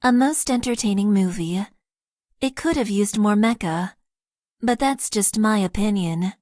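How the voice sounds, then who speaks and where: A woman's voice: medium pitch, measured pace, no echo, clean studio sound, lightly acted. A woman voicing a shy, stiff young mecha pilot. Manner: soft-spoken, stiff and literal. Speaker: voice actress. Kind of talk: game character voice lines.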